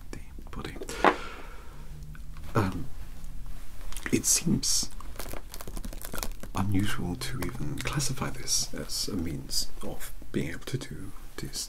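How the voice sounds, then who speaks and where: A man playing a young, bookish British vampire-hunting mentor in a soft-spoken ASMR roleplay, polite, awkward and formal, with hesitant, stammering phrasing. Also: low-pitched, rough recording. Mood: hesitant, scholarly.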